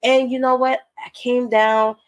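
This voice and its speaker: A woman's voice: a little high, little pitch movement, unhurried. A woman hosting her own podcast, nasal and congested from a cold, talking candidly and emphatically to her listeners. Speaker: podcast host. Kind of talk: solo podcast talk.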